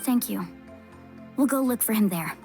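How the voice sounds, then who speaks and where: An eager young game heroine, fairly high in pitch. She sounds earnest and polite, with light music in the background. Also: lively intonation, moderate pace, darkish tone. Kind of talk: English video game voice acting.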